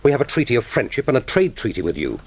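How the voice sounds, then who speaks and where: An actor playing a composed British secret agent and naval commander with a cool, terse, formal manner in a radio play. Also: male voice, medium pitch, wildly swooping pitch, fast. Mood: earnest, pressing. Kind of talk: radio drama acting.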